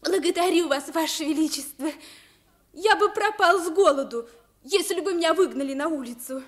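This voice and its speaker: Timid, very high-voiced servant boy. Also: moderate pace. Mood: humble. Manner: pleading, earnest. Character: timid, humble young servant boy, eager to please. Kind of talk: 1950s Russian radio play acting.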